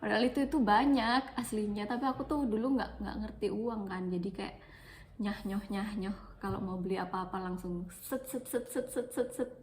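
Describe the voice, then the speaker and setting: A woman's voice: medium pitch, normal speed, a little mellow, a little hiss or hum. A young woman from Malang with an East Javanese accent, chatting casually into her phone for a personal vlog and repeating words for emphasis. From Malang, East Java, Indonesia. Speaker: vlogger.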